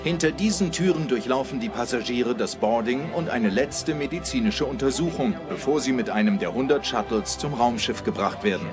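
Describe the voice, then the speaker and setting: A man's voice: medium pitch, lively intonation, moderate pace, few pauses. A man reading scripted documentary narration in German voice-over style. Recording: loud music under the voice. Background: music.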